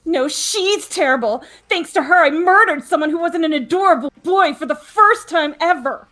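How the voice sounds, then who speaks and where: Woman doing a high, theatrical killer girl, cheerfully deranged. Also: normal speed, pushed and bright. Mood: gleeful.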